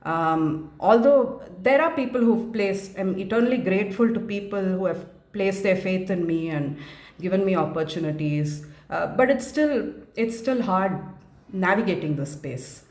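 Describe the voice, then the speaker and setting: A woman in her forties from India talks frankly through her views in a long, reflective monologue, often pausing on filler sounds. Her voice sits at a mid pitch. The recording has a noticeable room echo. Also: lively intonation, darkish tone. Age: 40s. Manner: thoughtful, frank, many "uh" and "um" pauses. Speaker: mental health advocate. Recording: echoey room.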